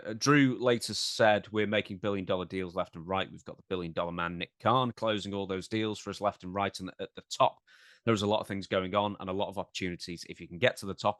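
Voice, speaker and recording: A man's voice: sits low, fairly bright tone, dry recording, clean studio sound. A man co-hosting a podcast, reading out news and discussing it in a casual, slightly hesitant, questioning way. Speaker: podcast co-host.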